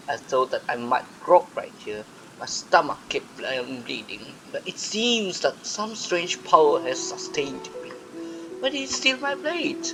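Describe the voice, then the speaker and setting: An actor playing a wounded, remorseful man voicing his last letter in a video game, weak, halting and hushed. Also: a little high, poor audio. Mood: weary, pained, then aggrieved, pained. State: weak, in pain.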